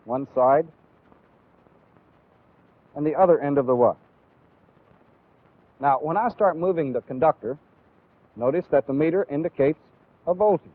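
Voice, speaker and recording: The sound is narrow and tinny, like an old training film. An American man speaks in a somewhat high voice, explaining things as an instructor would. His delivery is deliberate and methodical, built for a demonstration lecture. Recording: phone-like, band-limited old training film audio.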